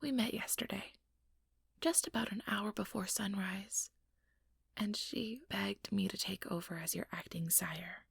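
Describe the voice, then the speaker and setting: Slow, breathy, sugary vampire schemer played by a woman. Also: medium pitch, dry recording, clean studio sound, heavily acted. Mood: falsely sympathetic. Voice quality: breathy, whispery. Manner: slow, coaxing, condescendingly sweet. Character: ambitious, sugary, manipulative female vampire official. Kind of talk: ASMR roleplay, soft whispered monologue to listener.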